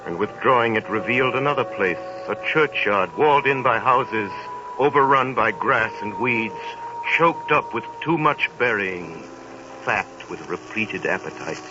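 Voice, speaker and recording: Deep, theatrical male radio narrator. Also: expressive, unhurried, noisy background. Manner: sonorous, theatrical. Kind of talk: dramatic scripted radio narration. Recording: dull 1930s radio broadcast with music.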